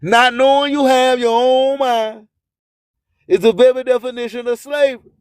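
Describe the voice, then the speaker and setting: A man's voice: very high-pitched, steady tone, normal speed, clear, resonant tone, no echo, studio quality. A man hosting a radio show, delivering an emphatic, declamatory motivational monologue and repeating his catchphrases. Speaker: radio host. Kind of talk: motivational radio monologue with promotional shout-outs.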